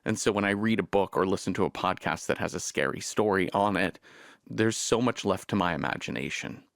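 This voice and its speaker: A man's voice: deep voice, a little fast, gravelly, a bit bright, no room sound, studio quality. A man speaking reflectively in an interview as a writer and creator.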